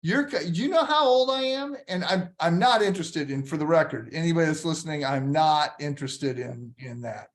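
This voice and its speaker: An interview host in his sixties with a slightly raised pitch. He chats easily, tells stories, and strings his questions into several follow-ups. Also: animated, a little fast, hardly any pauses. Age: 60s. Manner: chatty, anecdotal, asks layered follow-up questions. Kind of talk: casual interview conversation.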